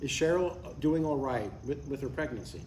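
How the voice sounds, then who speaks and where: Man playing older retired dad, matter-of-fact, stubborn yet affectionate, lively pitch, roomy table-read sound. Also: moderate pace, lightly acted. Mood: earnest, mild. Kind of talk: live comedy table read, naturalistic dialogue. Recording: roomy, distant live recording, faint noise.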